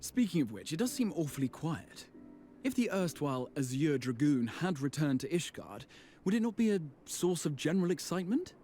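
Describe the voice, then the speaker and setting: A man's voice: on the high side, very animated, brisk, warm tone, faint music behind. A man playing an earnest, formal and eloquent young nobleman in a fantasy game. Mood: pensive. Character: earnest, courteous, well-spoken young nobleman.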